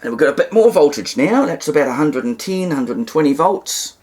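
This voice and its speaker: A man's voice: on the high side, animated, a little fast, a little mellow. A man giving an informal, hands-on demonstration, explaining things step by step in a somewhat hesitant way. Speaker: hobbyist presenter demonstrating radio repair.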